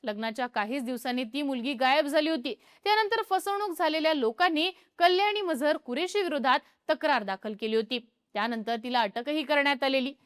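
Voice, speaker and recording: A woman with a Marathi accent narrating a scripted news report in a steady, measured tone. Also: sits high. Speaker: news presenter.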